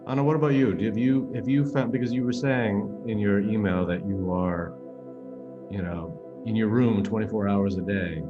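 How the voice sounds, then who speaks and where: Man, professor guest host, low voice, hesitant questions with fillers, muffled lo-fi sound, music underneath. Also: steady tone, moderate pace. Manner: gentle, searching questions with frequent fillers. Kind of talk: remote interview conversation.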